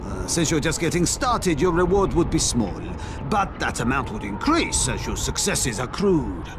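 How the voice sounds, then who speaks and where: An actor playing a shady, glib bookie of deadly races in a video game, salesmanlike, wordy and blithely callous. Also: male voice, a little high, very animated, normal speed, background noise. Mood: slick, callous. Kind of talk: video game cutscene acting.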